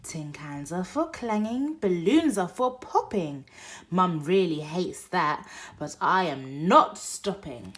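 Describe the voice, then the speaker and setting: A woman reading a picture book aloud for toddlers, expressive, moving between hushed whispers and loud exclaims. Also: on the low side, slow. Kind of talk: read-aloud bedtime story for toddlers.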